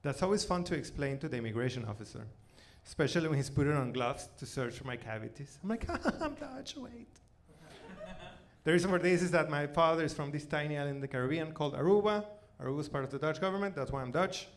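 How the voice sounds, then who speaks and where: A forty-year-old man with a Venezuelan accent and native Spanish tells stand-up stories conversationally, haltingly repeating his words. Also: a little high, animated. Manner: halting, repeats words, punctuated with "you know" and "like". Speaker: stand-up comedian. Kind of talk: stand-up comedy, conversational storytelling. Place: comedy club stage, live performance. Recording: noisy live club recording.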